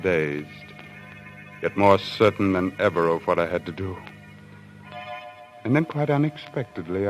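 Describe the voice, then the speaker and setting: An actor playing a tormented, menacing hunter husband losing his sanity, in a theatrical, intense old-time radio thriller style. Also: low-pitched. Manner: theatrical, sarcastic, brooding, intense. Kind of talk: old-time radio thriller acting and first-person narration. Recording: old radio recording with music behind.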